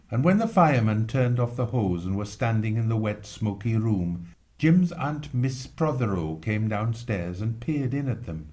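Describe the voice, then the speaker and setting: A man reading a literary story aloud with expressive narration. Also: lowish voice. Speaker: reader.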